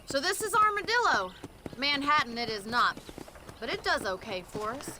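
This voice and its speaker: A woman's voice: high-pitched, big pitch swings, normal speed. A woman acting a plain-spoken, wry young rancher in a Western video game, teasing and articulate. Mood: brisk, teasing. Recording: loud outdoor horse and wagon noise. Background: hoof clops, wagon ride.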